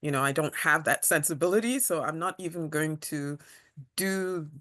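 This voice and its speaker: A woman from Kenya, interviewing a guest over a video call, halting as she searches for words. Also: low voice, a bit bright.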